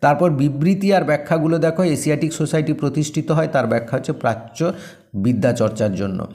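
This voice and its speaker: A man's voice: a little high, a little mellow. Man giving an instructional exam revision. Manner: direct, instructive, addressing students. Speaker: exam-prep teacher and presenter. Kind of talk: instructional exam revision, reading questions and answers aloud.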